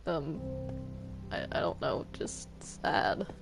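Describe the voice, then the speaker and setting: A woman chatting casually in a game roleplay, with a hesitant, halting way of talking. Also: a bass voice, big pitch swings, gravelly, rough recording. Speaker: player in a Minecraft roleplay server. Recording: music behind the voice. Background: music.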